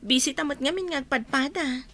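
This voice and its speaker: A woman's voice: sits high, moderate pace, fairly bright tone, dry recording. A woman with an Ilocano accent playing a doubtful, questioning friend in a radio drama.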